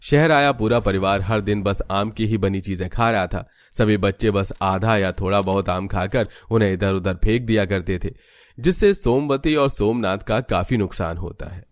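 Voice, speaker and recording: A man narrating an animated family story in a steady, even storytelling delivery. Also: on the low side, normal speed, no echo. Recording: music under the voice.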